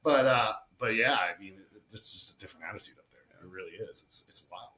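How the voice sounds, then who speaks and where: Bright-voiced man telling animated stories. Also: lowish voice, fast, echoey room, a little hiss or hum. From Massachusetts. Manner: animated, laughs often, frequent "you know what I mean". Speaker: podcast guest, retired soldier. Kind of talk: casual storytelling chat.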